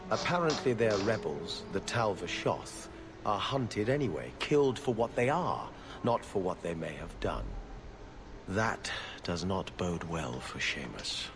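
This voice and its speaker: A man's voice: low-pitched, very animated, a little slow, noisy background, exaggerated voice. An actor playing a weary, haughty male court steward in a video game, speaking in a formal, clipped and disdainful way. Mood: grave, disdainful. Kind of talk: scripted video game dialogue.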